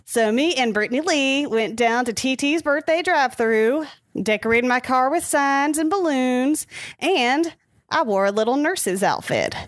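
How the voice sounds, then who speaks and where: A woman's voice: sits high, lively intonation, a little slow, dry recording, clean studio sound. A woman playing a breezy, clueless lady, telling folksy stories in a radio comedy monologue. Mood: breezy, proud. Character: chatty, cheerfully clueless Southern lady sharing life updates.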